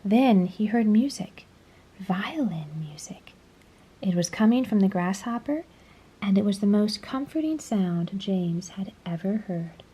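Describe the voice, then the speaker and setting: A woman reading a children's story aloud in a dramatic, deliberate storytelling manner. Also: medium pitch, lively intonation, dark tone, slightly rough. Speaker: storybook reader. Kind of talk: scripted children's story read-aloud, expressive.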